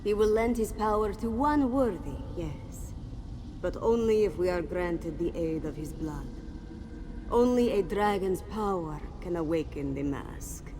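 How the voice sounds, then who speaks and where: A solemn cat-woman sage from a fantasy video game. Her voice sits fairly low and moves slowly, weighty and enigmatic as she speaks like an oracle. Clear background noise runs under her. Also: expressive. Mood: solemn, foreboding. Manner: solemn, cryptic, speaks of self in third person. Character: grave, mystical Khajiit cat-woman warrior sage.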